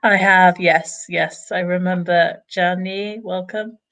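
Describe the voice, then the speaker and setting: A woman's voice: lowish voice, steady tone, slow, a bit bright. A woman with a British accent, talking conversationally as an English teacher co-hosting an online lesson.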